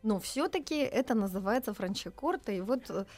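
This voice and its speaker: A woman's voice: on the high side, expressive, fairly quick, darkish tone, faint music behind. A woman speaking as a wine expert guest on a radio show, explaining patiently with some fillers.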